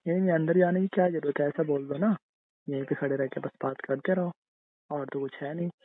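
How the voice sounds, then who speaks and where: A man's voice: a little high, steady tone, a little fast, natural voice. Man playing a teasing young husband, phone-like sound. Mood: playfully insistent. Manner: teasing, coaxing, repetitive insisting. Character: teasing, wheedling young husband, playfully sulky. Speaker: husband in a couple's call. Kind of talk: intimate casual couple banter. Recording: tinny narrow phone-call sound.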